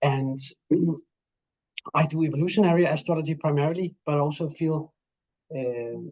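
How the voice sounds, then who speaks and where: A man speaks in a voice pitched fairly high for a man. He introduces himself calmly and evenly over a video call. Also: measured pace, low-quality recording. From Denmark. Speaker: astrologer. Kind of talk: casual self-introduction.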